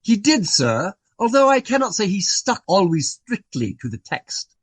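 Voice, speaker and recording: An actor playing a portly, garrulous, self-important Regency gentleman who tells his story in long-winded, genteel phrases for a radio play. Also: sits high, big pitch swings, normal speed, dry recording. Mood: courteous, florid.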